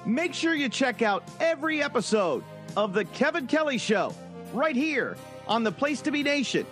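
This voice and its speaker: A man doing an animated promo spot for his show. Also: normal speed. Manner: animated, high-pitched pitch. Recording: promo spot over loud music.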